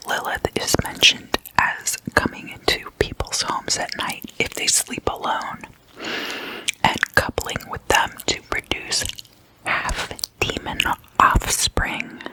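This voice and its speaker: An ASMR host whispering throughout in a hushed, unhurried, explanatory way. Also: rough recording.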